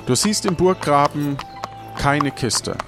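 A man narrating as game master of an improvised adventure audio play, with dramatic, drawn-out delivery. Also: fairly high pitch, expressive, music in the background. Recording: noisy sound-effect ambience behind voice.

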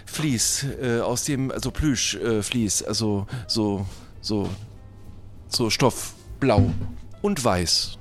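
A man's voice: on the low side, slow pace, faint music behind. A man playing a flustered, wary shopkeeper in a pirate role-play, stammering and repeating his words as he haggles. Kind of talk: improvised role-play dialogue.

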